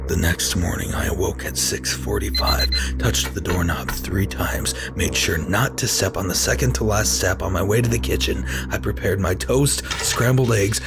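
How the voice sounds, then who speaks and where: An obsessive, unravelling office worker , acted out by a man, tells his own horror story in a very low male voice. His delivery is dramatic and tense, with a cold, violent streak beneath the anxiety. Music plays clearly behind him. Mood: weary, tense. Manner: dramatic, tense, lurching from hushed murmurs to anguished cries. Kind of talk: first-person horror story narration.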